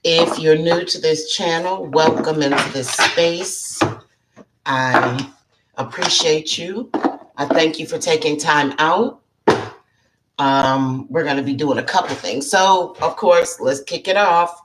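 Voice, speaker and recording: Slow low-voiced woman with allergy-froggy throat. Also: very noisy. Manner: chatty, greets viewers by name, clears throat often. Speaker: psychic life coach hosting a live show. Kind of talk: casual live-stream chat.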